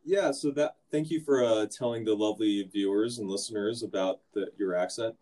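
A man's voice: lowish voice, normal speed, mellow. A man hosting a casual sports podcast, laid-back and joking. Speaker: podcast host.

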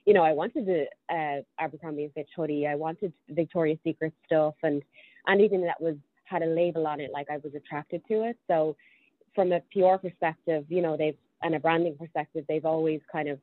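A young Irish woman with a low voice talks through an interview, chatty and full of ums as she works out her thoughts. Her voice comes over a thin, tinny line that sounds like a phone call. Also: fairly even intonation, brisk, dry recording. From Ireland. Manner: chatty, thinks aloud with frequent ums. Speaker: guest. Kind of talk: casual interview conversation.

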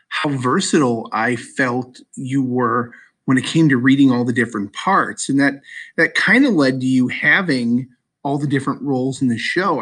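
Man hosting a podcast, slightly husky. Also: medium pitch, a bit bright. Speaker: podcast host. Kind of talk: podcast interview with school play actors.

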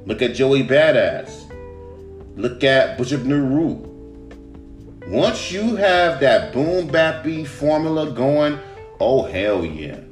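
A man with a smooth baritone voice, hosting a casual solo podcast and ranting about his own music, swearing often. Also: slow pace. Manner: animated, swears often, jumps to a high voice when mimicking fans. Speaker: podcast host and rapper. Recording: roomy room with guitar music behind.

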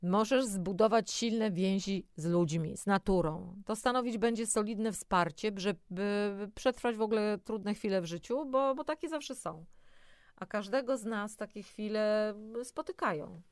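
A woman's voice: medium pitch, a little slow, smooth, no room sound, clean studio sound. A woman presenting a self-help talk in an encouraging way, speaking directly to the listener, partly from a script with spontaneous asides.

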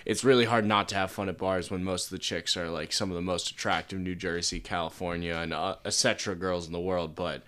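A man's voice: low-pitched, fairly even intonation, a little fast, few pauses, fairly bright tone, slight background noise. A young man in his twenties from Pittsburgh, co-hosting a casual podcast from an attic, joking in a blunt, crude way. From Pittsburgh, Pennsylvania. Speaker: podcast co-host. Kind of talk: casual chat.

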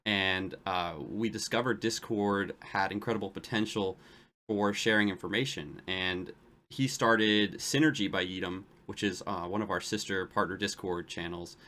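A man's voice: low voice, fairly even intonation, moderate pace, pushed and bright. A man hosting a podcast, friendly and enthusiastic, stumbling a bit as he talks. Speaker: podcast host. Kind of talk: casual interview talk.

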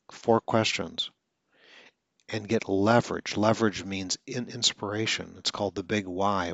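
A very deep-voiced man talks through a coaching podcast in an earnest way, with occasional stutters and restarts. The sound is dry and close, with a slightly dull, lo-fi quality. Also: vocal fry, a bit bright, no echo. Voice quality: stutters now and then. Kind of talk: instructional podcast monologue.

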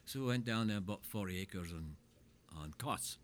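A man's voice: lowish voice, normal speed. Older man rambling through wild stories. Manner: rambling, animated anecdotes with swearing. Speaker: guest telling his life story. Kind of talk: casual storytelling chat.

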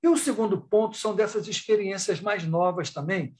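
A Brazilian man speaks in a somewhat high-pitched voice, as a researcher would in an online panel discussion. His delivery is unscripted and discursive. He moves haltingly through his thoughts, repeating words, rephrasing and dropping in small tag questions. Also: darkish tone. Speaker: academic researcher and thesis supervisor. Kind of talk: spontaneous panel discussion in an online live talk.

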